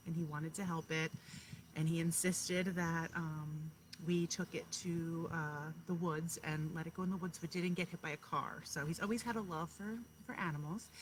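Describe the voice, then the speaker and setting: A woman kindergarten teacher talking and reading aloud to young children, speaking to them directly and pausing to explain and ask questions. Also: sits low, slight background noise, poor audio.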